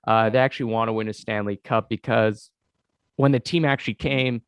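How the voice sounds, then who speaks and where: A man's voice: fairly low pitch, fairly even intonation, fairly quick, clean tone, no echo, dull audio. A man hosting a casual sports podcast, congested from a cold, rambling with lots of fillers as he talks to his co-host. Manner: rambling, many "uh" fillers, keeps addressing co-host.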